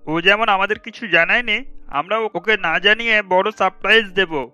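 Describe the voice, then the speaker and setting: An actor playing a supportive, dutiful young husband in a cartoon, decisive and reassuring. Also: male voice, high voice, moderate pace, sharp tone, no echo, faint music behind. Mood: resolute.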